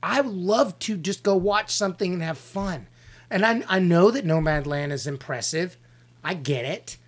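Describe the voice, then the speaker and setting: A man from the American South with a Southern accent, co-hosting a casual movie review podcast and giving blunt, opinionated takes. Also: high voice. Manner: blunt, opinionated, quick to rant. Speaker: podcast co-host; actor.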